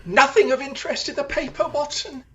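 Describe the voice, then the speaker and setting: A woman's voice: high-pitched, a little fast, dull audio, slight background noise, natural voice. A woman playing a restless, haughty male detective in an audio drama, with a theatrical, disdainful, declamatory way of speaking. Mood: restless, scornful. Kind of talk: radio-style audio drama acting.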